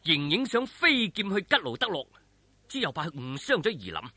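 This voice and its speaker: Animated, bright-voiced Cantonese storytelling man. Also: a little high, moderate pace, no room sound. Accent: Hong Kong Cantonese. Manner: theatrical, colloquial, suspenseful. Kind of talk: dramatic audiobook-style martial arts storytelling.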